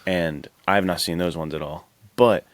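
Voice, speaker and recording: A man's voice: low-pitched, steady tone, moderate pace, no room sound. A man co-hosting a podcast, chatting casually about movies in a slangy, enthusiastic way. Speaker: podcast co-host.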